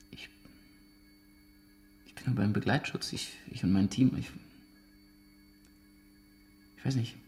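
A man playing a traumatised, guarded ex-soldier in a naturalistic radio drama, speaking in halting, broken phrases. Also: lowish voice, darkish tone. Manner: halting, broken sentences, breathy near-whispers. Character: traumatised ex-soldier, haunted, guarded former team leader.

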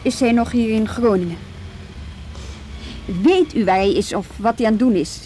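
Actress doing a polite but persistent lady cop in a radio play, gentle mellow tone, kinda hesitant, light noise behind. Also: fairly high pitch, normal speed, natural voice. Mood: earnest, persistent. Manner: tentative, hesitant, earnest. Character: polite, persistent juvenile police officer, a woman. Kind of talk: Dutch radio drama acting.

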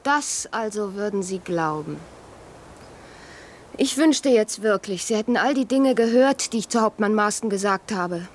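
An actress playing a proud, scornful, passionate young gentlewoman in a radio drama, with an impassioned, cutting delivery. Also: mid-range voice, lively intonation, a little slow, a little hiss or hum, natural voice.

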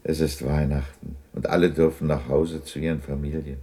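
A man's voice: extremely low pitch, level tone, normal speed, warm tone, slightly rough, exaggerated voice. A man acting a remorseful old miser moved by his memories in a 1960s German radio drama. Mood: sad, remorseful. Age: older.

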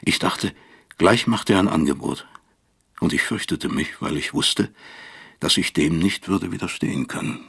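An extremely deep, creaky and husky male narrator in a radio play. He broods like a remorseful father, reflective, literary and bitter. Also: moderate pace, a bit bright, exaggerated voice. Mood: fearful, self-reproachful. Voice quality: gravelly, husky. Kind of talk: radio drama first-person narration.

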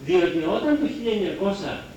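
Male lecturer, high and very animated voice, emphatic explainer with halting self-corrections, roomy live-hall sound. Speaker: history lecturer. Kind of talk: public lecture, semi-improvised explaining. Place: lecture hall, speaking to an audience. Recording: very echoey hall, distant mic, faint noise.

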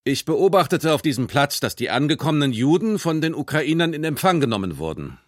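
An actor playing a truck driver giving a factual, deliberate statement in a documentary radio drama. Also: male voice, a little high, very animated, dry recording, clean studio sound. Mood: factual, uneasy. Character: male Nazi-era truck driver giving matter-of-fact interrogation statement.

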